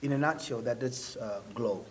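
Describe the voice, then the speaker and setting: A man's voice: mid-range voice, moderate pace, a little hiss or hum. A man hosting a casual talk show interview, affirming the guest with frequent short replies. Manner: affirming, with frequent backchannels and laughs.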